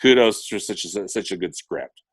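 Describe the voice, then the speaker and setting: A man with a slightly low voice chats as co-host of a film podcast. He is earnest and halting, often rewording mid-thought. The recording is dry and close. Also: no echo. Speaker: podcast co-host reviewing animated films. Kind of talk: casual film discussion.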